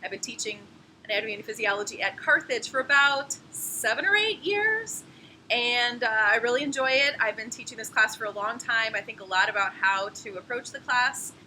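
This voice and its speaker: A woman's voice: fairly high pitch, animated, normal speed, pushed and bright, a little hiss or hum, rough recording. A woman, a professor explaining course logistics in a friendly, explanatory way. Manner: friendly, explanatory, laughs now and then. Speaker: anatomy and physiology professor. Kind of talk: course introduction video.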